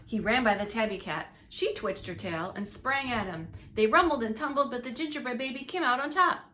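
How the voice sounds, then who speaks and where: A woman reading a picture book aloud to young children as a preschool teacher, expressive and emphatic, with little asides to her listeners. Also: fairly high pitch, brisk, a little hiss or hum. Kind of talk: expressive storybook read-along for young children.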